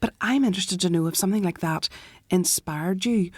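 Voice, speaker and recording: A woman radio co-host who asks interview questions in a measured, soft-spoken way. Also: lowish voice, no room sound. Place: radio studio.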